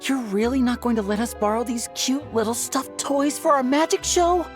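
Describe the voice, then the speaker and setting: A woman's voice: sits high, moderate pace, lightly acted. A woman voicing a charming, courteous young stage magician in a video game, with theatrical delivery. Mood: charming, teasing. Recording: music under the voice.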